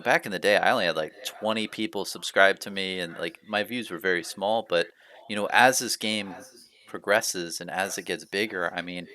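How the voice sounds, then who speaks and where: A man's voice: on the low side, bright, forceful tone. A man hosting a casual talk show, chatting loosely with lots of "you know" fillers. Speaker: podcast host. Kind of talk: casual chat.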